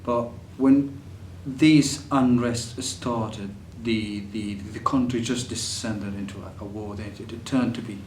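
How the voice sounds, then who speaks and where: A young man from Syria speaks, recounting his story with pauses, fillers and restarts. He sits some way from the mic in an echoey room, with faint ambient noise behind him. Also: medium pitch, steady tone, darkish tone, recorded from a distance. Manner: hesitant, many fillers and restarts. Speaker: refugee telling his story. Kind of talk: personal testimony.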